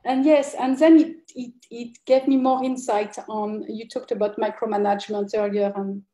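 A woman sharing personal reflections casually and haltingly on a group video call. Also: fairly high pitch, fairly even intonation, warm tone. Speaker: participant in an online group conversation. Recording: roomy, echoey video call.

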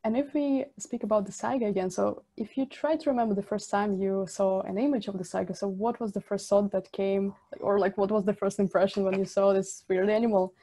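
A woman's voice: mid-range voice, fairly even intonation, a little fast, hardly any pauses, warm tone. Young woman asking questions on a video call. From Central Asia. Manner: friendly, filler-heavy questions. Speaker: interviewer host. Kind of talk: casual video call interview.

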